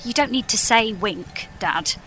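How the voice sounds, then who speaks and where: Reluctant daughter played by a young woman, high and slow, exasperated deadpan, comedy radio play. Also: soft background music. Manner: hesitant, deadpan. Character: reluctant, exasperated heroine daughter, awkward and unsure. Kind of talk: scripted audio comedy drama.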